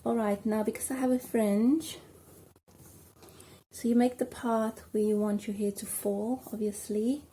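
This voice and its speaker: A South African woman chatting casually at home while doing her hair, rambling and filling pauses with ums. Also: fairly high pitch, fairly even intonation, mellow, a little hiss or hum. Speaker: vlogger. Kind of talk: casual hair tutorial chat.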